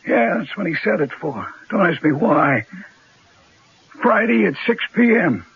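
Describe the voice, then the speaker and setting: An actor playing a rough, blunt, slangy underworld tough with a raspy voice in a radio drama. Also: male voice, high voice, very animated, unhurried, full character voice. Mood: blunt, wary. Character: rough street-tough male underworld informant and gangster. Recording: dull, lo-fi old radio recording.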